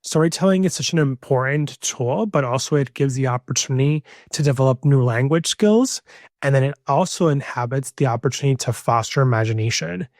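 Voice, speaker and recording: A man in his young adult years hosts a podcast on his own, speaking earnestly and circling back to stress what matters. His pitch sits in an ordinary middle range. The recording is close and dry, with no room sound around him. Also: a little fast, darkish tone, dry recording. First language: Spanish. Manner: earnest, emphatic, repeats key points. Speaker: podcast host. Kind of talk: solo podcast monologue.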